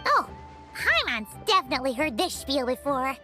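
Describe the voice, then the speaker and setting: A woman voicing a tiny, childlike, excitable and naive fairy companion in a video game, given to exclamations. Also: high voice, moderate pace, soft background music, exaggerated voice. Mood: puzzled. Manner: exclaiming, refers to self in third person.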